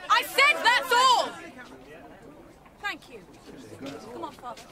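An actress playing a curt, protective grown daughter in a radio drama. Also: female voice, very high-pitched, very animated, takes it slowly, exaggerated voice. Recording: busy street crowd behind.

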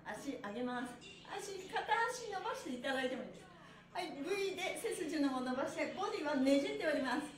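A woman's voice: sits high, expressive, quick pace, faint music behind. A cheerful, encouraging woman instructing a livestream stretch class, chatty and giggly, with chanted phrases as counts. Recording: echoey room.

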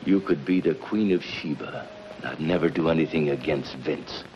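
An actor playing a loyal, weary middle-aged henchman, gruff and slangy, in a 1950s television crime melodrama. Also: very deep voice, moderate pace. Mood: grim, resigned. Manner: gruff, plain-spoken, slangy. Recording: muffled, noisy 1950s television soundtrack.

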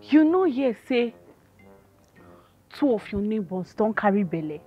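A woman's voice: mid-range voice, big pitch swings, measured pace, husky, highs cut off, faint music behind. A woman with a Nigerian accent playing a dramatic, gossipy, pious compound neighbour in a comedy film. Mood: conspiratorial alarm. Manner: dramatic, emphatic.

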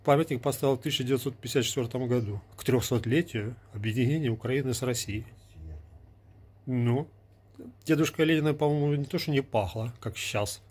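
Man, forties, from Ukraine, husky voice, mid pitch, argumentative, sarcastic, phone selfie video. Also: fairly quick, darkish tone. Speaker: vlogger. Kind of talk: casual talk to camera. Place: small indoor room with gear. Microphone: earbud mic, phone at arm's length.

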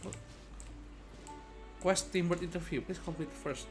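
A man's voice: high voice, steady tone, a little slow. A man giving casual live gameplay commentary, playfully muttering and breaking into sudden exclamations. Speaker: game streamer. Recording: game music faintly behind.

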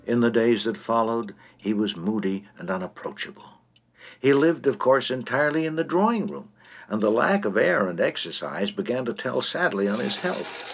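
A man's voice: medium pitch, expressive, normal speed, over loud music. A man narrating a comic short story in a droll, theatrical, precise manner.

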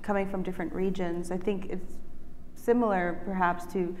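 Soft-pitched woman moderating a panel. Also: flat delivery, normal speed, a little hiss or hum. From Ecuador. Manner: thoughtful, hedging questions with fillers. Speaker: curator, moderator. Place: museum auditorium stage, indoors, large. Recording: echoey auditorium with faint ambience.

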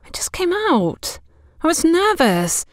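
Woman voicing a nervous, earnest young woman, raised pitch. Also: big pitch swings, slow pace, dry recording, studio quality, heavily acted. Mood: flustered, defensive. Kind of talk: audio story character dialogue.

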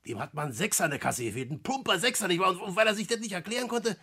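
An actor in a 1960s German radio play as a cocky, ageing small-time schemer, lecturing in slangy talk. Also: male voice, high voice, lively intonation, racing, sharp tone, slightly rough, heavily acted. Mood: boastful. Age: older.

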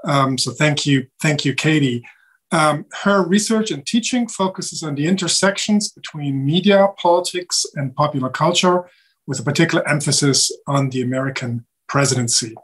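Middle-aged male moderator, husky, courteous, hesitant fillers, video call. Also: on the high side, normal speed, fairly bright tone, low-quality recording. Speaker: seminar host and moderator. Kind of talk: formal introduction on a video call. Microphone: webcam video call.

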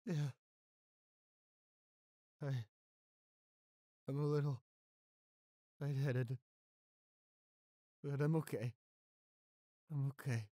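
Man acting as devoted boyfriend, soft halting delivery, slow pace, mid pitch, dry close sound, intimate audio roleplay. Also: no room sound. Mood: weak, lightheaded. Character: gentle, devoted, weakened boyfriend of a vampire.

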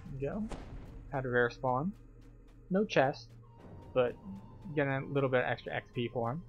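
A man's voice: mid-range voice, lively intonation, moderate pace, dull audio. Man guiding a game playthrough over music. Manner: explanatory, step-by-step. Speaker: gamer, video game tour guide. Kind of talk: casual playthrough commentary.

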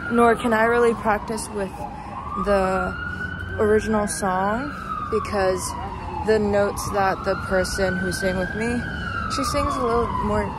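A young woman chatting casually in a rambling, halting way, recording on a handheld phone as she walks outside. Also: mid-range voice. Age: young adult. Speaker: vlogger. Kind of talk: casual walking vlog chat. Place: outdoors walking on a city street at night. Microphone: handheld phone at arm's length. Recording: noisy city street with passing traffic. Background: traffic and street ambience.

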